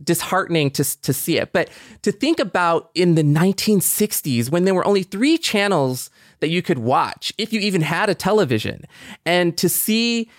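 A man's voice: high-pitched, expressive, normal speed, no room sound, clean studio sound. A middle-aged man co-hosting a podcast, speaking in an earnest, thoughtful way with hesitant, searching phrasing. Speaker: podcast co-host. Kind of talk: reflective podcast conversation.

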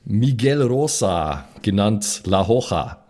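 A man's voice: lowish voice, animated, slow, darkish tone. A man playing in a role-play podcast, playful and laughing often, also reading out thanks to supporters. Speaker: player.

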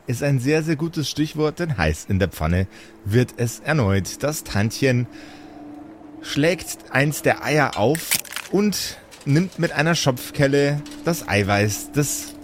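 A man narrating an improvised fantasy story as game master, with theatrical, relishing, drawn-out phrasing. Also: medium pitch, slight background noise.

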